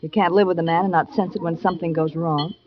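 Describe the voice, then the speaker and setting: An actress playing an anxious, pleading detective's wife in an old-time radio drama, hesitant and earnest. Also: female voice, fairly low pitch, fairly even intonation, fast talker, crowd noise, lightly acted. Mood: anxious, confiding. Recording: dull vintage radio recording.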